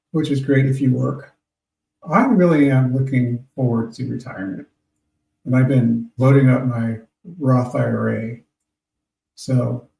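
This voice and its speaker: Gravelly rambling man in his fifties. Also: medium pitch, darkish tone, far from the mic. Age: 50s. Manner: meandering, reads out listener names. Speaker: internet radio host. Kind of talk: rambling casual talk to listeners. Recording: echoey room, voice off-mic.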